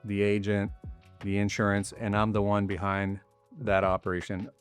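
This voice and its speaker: A middle-aged man with a fairly low voice talks easygoing and explanatory, leaning on "you know" as he goes. Faint music plays softly behind him. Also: normal speed, clean tone. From raised partly in Costa Rica. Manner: relaxed, explanatory, many "you know" fillers. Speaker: mortgage loan officer, guest. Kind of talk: casual interview chat.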